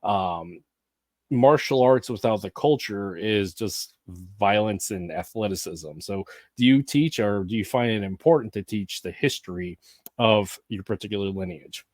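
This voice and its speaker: A man's voice: lowish voice, a little slow, a little mellow, dry recording, studio quality. A man hosting a casual podcast conversation, talking emphatically and with strong opinions. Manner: emphatic, opinionated, frequent backchannel murmurs. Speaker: podcast host.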